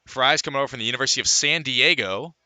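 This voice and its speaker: Male radio baseball commentator, very bright and forceful tone, dry close sound, stat-filled live calls. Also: mid-range voice, quick pace, dry recording. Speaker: baseball play-by-play radio announcer.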